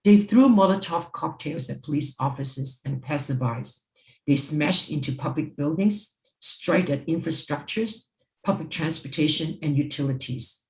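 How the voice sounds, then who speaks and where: A mature woman from Hong Kong giving a scripted panel speech in a formal, deliberate, emphatic manner. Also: deep voice, expressive, a little mellow. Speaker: panel speaker, former judge. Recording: roomy, echoing sound.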